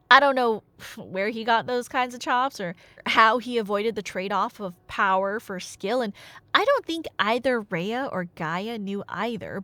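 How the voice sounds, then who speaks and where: Animated, high-voiced nerdy girlfriend played by a woman. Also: no echo. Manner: animated, colloquial, emphatic. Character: enthusiastic nerdy girlfriend explaining Greek myths. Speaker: audio roleplay performer. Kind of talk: casual storytelling ramble.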